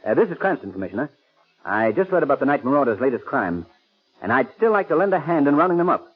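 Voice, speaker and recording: A man's voice: on the high side, big pitch swings, fast talker, husky, heavily acted. An actor playing a suave, wry amateur sleuth and man about town, in urbane 1930s radio style. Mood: polite, wry. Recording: muffled, dull 1930s radio recording.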